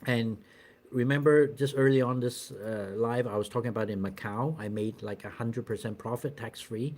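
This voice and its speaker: A middle-aged man talks loosely to his viewers on a live stream, with a voice of ordinary pitch. He rambles, restarts sentences and fills pauses with "uh" and "you know". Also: a little mellow. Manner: rambling, many fillers and restarts. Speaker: channel host doing a live stream. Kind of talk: casual live-stream chat answering viewers.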